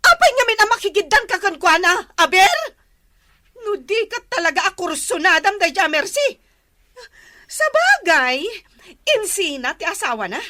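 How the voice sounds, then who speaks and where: A woman's voice: very high-pitched, sing-song, moderate pace, pushed and bright, no room sound, clean studio sound, exaggerated voice. A woman playing a jealous, nagging, confrontational wife in a radio melodrama, with an Ilocano accent. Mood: jealous, accusing. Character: jealous, loud-mouthed Ilocano wife picking quarrels. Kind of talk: melodramatic radio drama acting.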